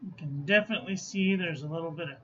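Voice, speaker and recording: Phlegmy man, high voice, unhurried chatty talk, dull lo-fi sound, faint room hum. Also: bright, forceful tone. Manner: chatty, self-mocking asides. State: phlegmy, clearing throat. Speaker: ink reviewer. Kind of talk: casual chat while swatching ink. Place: small room at a desk.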